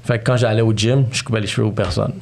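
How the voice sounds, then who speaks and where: A man's voice: fairly low pitch, steady tone, quick pace, creaky, darkish tone, a little hiss or hum. A man in his thirties with a Quebec French accent, telling his life story as a podcast guest, freely mixing English slang into his French. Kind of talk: casual storytelling interview.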